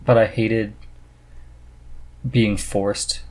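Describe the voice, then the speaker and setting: A man hosting a podcast, chatting casually and haltingly as he thinks aloud. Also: lowish voice, flat delivery, a little mellow. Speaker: podcast host. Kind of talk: casual conversation about reading.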